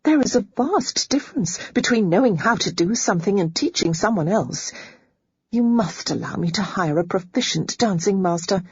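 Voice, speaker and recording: A woman's voice: mid-range voice, expressive, normal speed, breathy edge, no echo, highs cut off, pitched above the performer's own voice. A woman voicing a prim young lady tutor. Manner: prim, correcting, patient.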